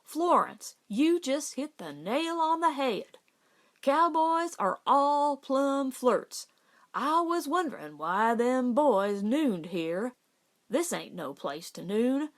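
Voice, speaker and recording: A woman's voice: a little high, heavily acted. Woman acting a hearty, drawling old cowboy boss. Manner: loud, drawling, folksy Western speech. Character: hearty, teasing older male cowboy boss. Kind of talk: audiobook character voice.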